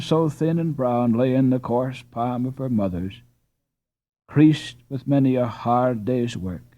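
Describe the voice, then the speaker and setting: Slow mellow male storybook narrator. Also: medium pitch, highs cut off. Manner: measured, unhurried reading. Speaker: audiobook narrator.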